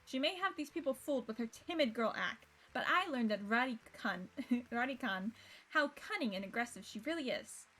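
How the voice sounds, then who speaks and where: Expressive high-pitched woman streaming a game. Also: moderate pace. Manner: expressive, self-interrupting, swears freely. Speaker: streamer playing a visual novel. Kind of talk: reading game narration aloud with casual reactions. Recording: game music behind the voice. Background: game music.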